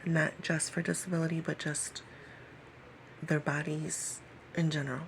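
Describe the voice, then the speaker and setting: A woman in her late thirties talking personally to camera in a halting way, repeating phrases and sometimes dropping to a whisper. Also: low voice, level tone, a little hiss or hum, rough recording. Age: late 30s. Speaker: vlogger.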